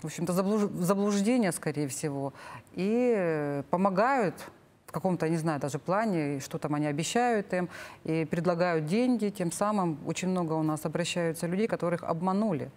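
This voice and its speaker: Low-voiced middle-aged woman explaining. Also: normal speed, a little mellow. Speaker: government official, expert guest. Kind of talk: studio interview explanation. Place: TV studio, seated at a table. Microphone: lapel.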